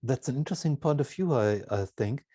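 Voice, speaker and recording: A man, a native German speaker, interviewing in a conversational way with halting, deliberate, broken-up phrasing. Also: medium pitch, animated, mellow, breathy edge, no room sound, studio quality.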